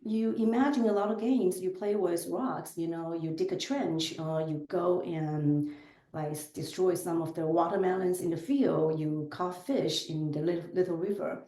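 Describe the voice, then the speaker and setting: A woman's voice: lowish voice, moderate pace, warm tone, reverberant. A woman in her forties from southern China, speaking English with a Chinese accent as an interview guest, thoughtful and full of fillers. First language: Chinese dialect. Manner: thoughtful, with frequent fillers and self-corrections. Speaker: podcast guest. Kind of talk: casual interview conversation.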